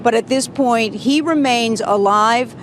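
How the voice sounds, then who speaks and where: A woman delivering a live television news report as a reporter in the field. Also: measured pace. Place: outdoors on a city street. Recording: noisy outdoor street, traffic rumble.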